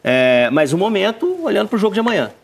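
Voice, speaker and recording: A man with a Brazilian accent, a football pundit with joking, quick remarks. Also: high voice, sing-song, vocal fry, slightly rough. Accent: Brazilian Portuguese.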